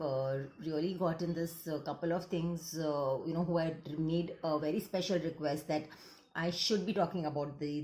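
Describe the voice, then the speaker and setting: A woman's voice: sits low, a little mellow, roomy sound, a little hiss or hum, rough recording. A woman counseling psychologist with an Indian accent, Gujarati her first language, talking earnestly to camera and slipping into Hindi mid-sentence. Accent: Indian English. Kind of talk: casual talk to camera.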